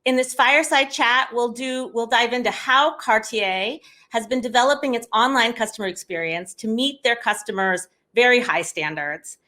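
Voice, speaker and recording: A woman's voice: fairly high pitch, normal speed, pushed and bright. A woman hosting a conference, reading an upbeat scripted introduction to a session. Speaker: conference host.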